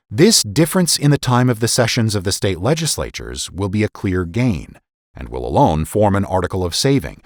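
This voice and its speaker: A man narrating a dramatic reading of an old political essay in a formal, measured, emphatic oratorical manner. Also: on the low side, no echo, clean studio sound. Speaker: podcast host and narrator.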